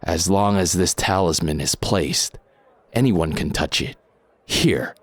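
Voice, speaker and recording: Man acting as very deep, coolly menacing masked mercenary. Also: slow pace, dry recording, full character voice. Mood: businesslike. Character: cold, menacing masked male mercenary, calmly threatening. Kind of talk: audio drama acting.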